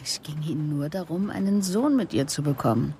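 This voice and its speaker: An actress playing a composed, relentless police investigator in a German radio drama, speaking in a measured, deliberate way. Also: female voice, sits low, lively intonation, warm tone, slight background noise. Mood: confronting, insistent. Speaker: interrogator and narrator. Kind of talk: German radio drama acting with introspective narration.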